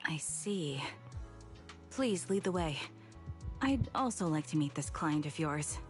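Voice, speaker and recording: An actress playing a calm, mysterious woman in a video game, composed and formal in manner. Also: sits low, slow. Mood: calm, courteous. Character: calm, mysterious blindfolded woman, stealthy agent. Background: game music.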